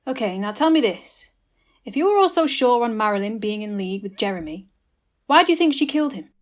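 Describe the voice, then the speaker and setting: A woman playing a brisk, wry detective questioning suspects in an amateur comedy murder mystery. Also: fairly high pitch, big pitch swings. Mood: brisk, probing.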